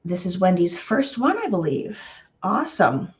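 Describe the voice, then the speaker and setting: A slightly hoarse woman hosting a live painting tutorial, chatty and greeting viewers by name with lots of ums. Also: on the low side, big pitch swings, normal speed. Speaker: painting instructor.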